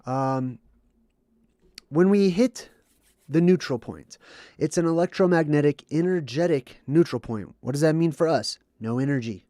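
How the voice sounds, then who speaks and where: A man's voice: on the high side, a little slow, warm tone, no echo, studio quality. A man hosting a livestream, explaining to his audience while a bit under the weather and congested, often checking in with "okay" or "right". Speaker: livestream host. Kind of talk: explanatory monologue with chat reading.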